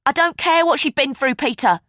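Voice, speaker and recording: A woman's voice: very animated, brisk, fairly bright tone, no echo, clean studio sound. A woman voicing a furious, spiteful young woman who snaps and sneers at her sister. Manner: shrill, snapping, contemptuous.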